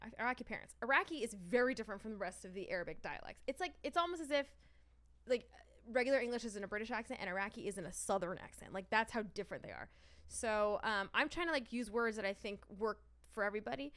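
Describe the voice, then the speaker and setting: Fast, bright-voiced woman comedian telling stories. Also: fairly high pitch, lively intonation. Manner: swearing, laughing, acts out other people's lines. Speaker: stand-up comedian guest. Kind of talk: animated storytelling in a casual podcast chat.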